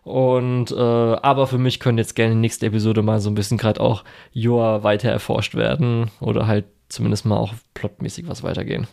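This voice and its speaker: A man's voice: fairly low pitch, little pitch movement, moderate pace, gravelly, hardly any pauses, a little mellow. A man co-hosting a chatty podcast, rambling with many fillers and restarts. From Germany. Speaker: podcast co-host. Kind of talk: casual chat.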